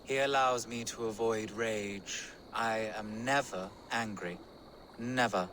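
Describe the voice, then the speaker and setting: A man's voice: fairly low pitch, a bit bright, slight background noise. A man playing a sanctimonious converted monk in a video game, self-satisfied, with murderous rage barely buried. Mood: smugly pious. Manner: pious, self-satisfied, slow. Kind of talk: video game cutscene acting.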